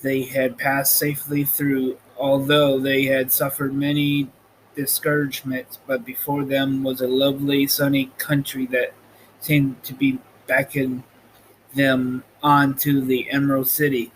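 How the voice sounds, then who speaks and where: A man hosting and reading a storybook aloud in a halting, amateur way, with casual asides. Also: mid-range voice, completely flat delivery, poor audio. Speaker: host reading a book aloud. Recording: noticeable background noise.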